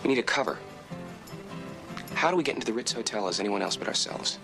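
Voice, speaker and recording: An eager quick sleuth. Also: male voice, medium pitch, lively intonation, vocal fry, music in the background. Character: eager young male amateur sleuth, impatient. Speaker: young amateur detective. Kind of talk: 1970s TV drama acting.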